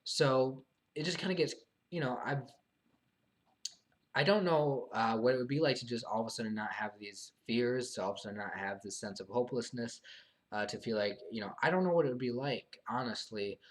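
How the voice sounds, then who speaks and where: A young man live streaming alone, answering viewer questions in a hesitant, halting way with many fillers, his voice tiring from long talking. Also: mid-range voice. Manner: soft, subdued, earnest. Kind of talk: casual live stream monologue.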